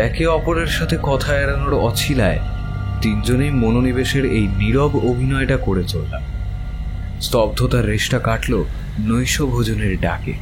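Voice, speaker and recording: A man playing a young, haunted and infatuated traveler, narrating a horror audio story in a theatrical, literary manner with dramatic pauses. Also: mid-range voice, natural voice. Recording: voice over loud background music. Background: prominent music bed.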